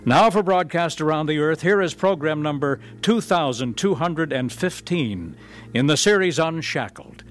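A man announcing and narrating a radio drama in a measured, earnest broadcast style. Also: on the high side, animated, faint music behind.

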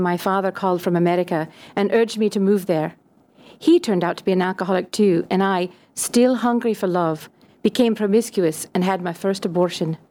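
A woman's voice: mid-range voice, mellow. A woman from Scotland narrating a scripted personal testimony.

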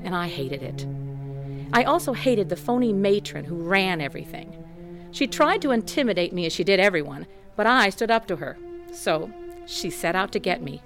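A woman's voice: mid-range voice, close to an everyday voice. An actress narrating as a tough, candid woman recounting a troubled childhood in a scripted radio drama.

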